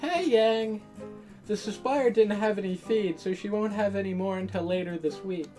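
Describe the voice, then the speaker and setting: A woman's voice: mid-range voice, normal speed, mellow, close to an everyday voice. A woman acts out an indignant, spirited kid sister in a visual novel. She is dramatic and playful in her protests, over light background music. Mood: easygoing. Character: spirited, indignant younger sister who tends dragons.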